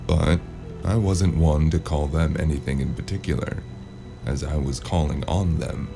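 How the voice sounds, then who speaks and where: Jaded male private eye played by a man, noir audio drama: extremely deep, dry wit, music bed. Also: steady tone, moderate pace. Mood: wry, weary. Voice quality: deep, creaky. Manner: wry, world-weary, casual. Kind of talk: noir audio drama with first-person narration.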